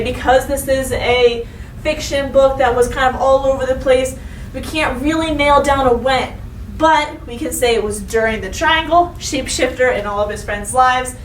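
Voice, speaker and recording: A woman teacher reading a story and giving a lesson to children, expressive and instructive, speaking to them directly. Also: high-pitched. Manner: expressive, instructive, addresses young listeners directly. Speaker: elementary school teacher. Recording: roomy echo, distant mic, faint noise.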